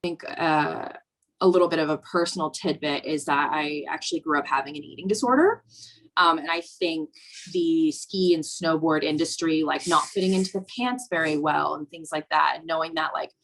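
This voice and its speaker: A woman's voice: deep voice, normal speed, fairly bright tone, low-quality recording. A young woman from Virginia, speaking reflectively in a documentary interview, with frequent "um" and "you know". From Virginia, USA. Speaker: snowboarder interviewee.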